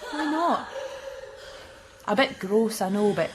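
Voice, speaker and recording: A woman playing an insistent, intimate ghostly daughter in a radio drama, speaking in a hushed, coaxing way. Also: mid-range voice, expressive, takes it slowly, noisy background. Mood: eerie, insistent. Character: ghostly grown daughter, intimate and insistent, haunting her mother. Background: music.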